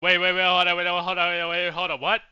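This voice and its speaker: A man's voice: high voice, completely flat delivery, fast talker, pushed and bright, smooth, lo-fi sound. A man giving casual, reactive game commentary as a streamer, blunt and exclamatory with plenty of swearing. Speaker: let's play streamer.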